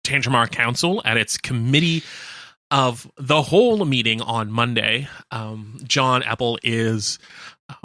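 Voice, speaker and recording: A man's voice: mid-range voice, a little slow, bright, forceful tone, no echo. A man co-hosting a local radio show, talking casually as he goes through local news. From Canada.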